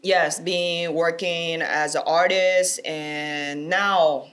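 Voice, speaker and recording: A woman's voice: sits low, fairly even intonation, very slow, fairly bright tone. A young woman from Japan with a Japanese accent, chatting casually and in slangy exclamations as a guest. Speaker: guest, singer and dancer. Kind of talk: casual chat.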